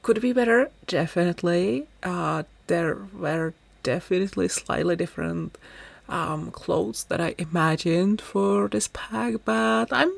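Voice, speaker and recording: Low-voiced Czech woman, slow and gravelly. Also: dry recording. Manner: chatty, rambling, self-deprecating. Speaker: gaming YouTuber. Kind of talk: casual voice-over commentary.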